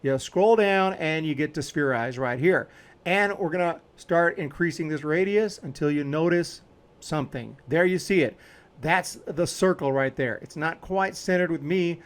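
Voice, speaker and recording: A man's voice: on the high side, moderate pace. A man hosting a video editing tutorial, talking casually and informally as he explains each step while clicking along.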